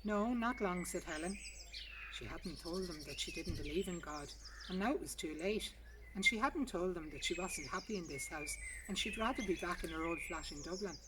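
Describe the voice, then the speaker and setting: A woman's voice: on the low side, normal speed. A woman reads a short story aloud as scripted narration, recorded outdoors in a garden. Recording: distant mic, outdoor noise, birdsong. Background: birdsong and outdoor ambience.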